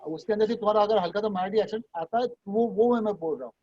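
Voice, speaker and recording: A man with a high voice leads an online voice training class. He talks casually and reassuringly, switching between Hindi and English. The sound is dry and dull, like a lo-fi video call. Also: fast talker, warm tone, no echo. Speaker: voice and dubbing trainer.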